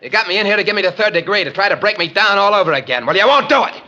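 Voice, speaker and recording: An actor playing a paranoid, gun-wielding killer in 1940s radio crime style, talking in tough slang. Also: male voice, high-pitched, lively intonation, rapid-fire, bright, forceful tone, exaggerated voice. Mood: agitated, defiant. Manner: slangy, tough-guy talk with double negatives. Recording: dull old radio recording with music.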